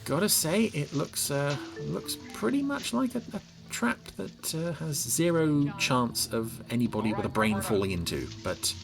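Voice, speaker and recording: A man's voice: on the high side, very animated, moderate pace, vocal fry, few pauses. A man giving sardonic, exasperated scene-by-scene commentary on a television episode as a podcast reviewer. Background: TV episode soundtrack with music.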